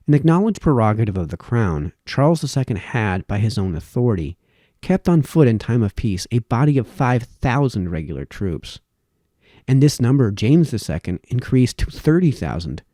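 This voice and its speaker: A man reading formal historical essays aloud, with measured, emphatic phrasing that falls away at sentence ends. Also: lowish voice, big pitch swings, mellow, dry recording, studio quality.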